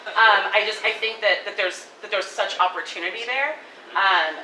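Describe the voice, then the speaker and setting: A woman gives feedback in a casual, animated way, her voice bright and a little low. She sounds far from the mic, and the room echoes around her. A faint hum sits behind her. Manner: animated, fast, many "like" fillers, laughing. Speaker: audience member giving feedback. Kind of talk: casual spoken critique at a live table reading. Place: coffee shop event room.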